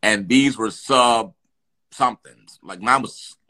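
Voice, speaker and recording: A middle-aged man talks in a bright, forward voice. He tells his stories with lively energy, restarting his sentences as he goes, like a guest sharing anecdotes in an interview. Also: medium pitch, slow pace. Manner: animated, lively storyteller with restarts. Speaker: guest actor. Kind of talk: storytelling in an interview.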